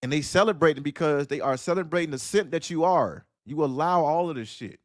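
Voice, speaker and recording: A man's voice: a little high, brisk, no echo, studio quality. A man hosting a solo audio livestream, delivering a blunt, preachy monologue that fires off rhetorical questions. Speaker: livestream host.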